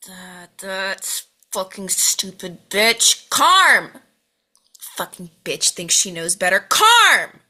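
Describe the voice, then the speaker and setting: Woman acting a sharp, exasperated, foul-mouthed mother. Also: mid-range voice, sing-song, slow, heavily acted. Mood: angry, frantic. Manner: exasperated, swearing, snapping. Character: volatile, foul-mouthed, overwhelmed mother in her late forties. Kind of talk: amateur table read of TV drama script.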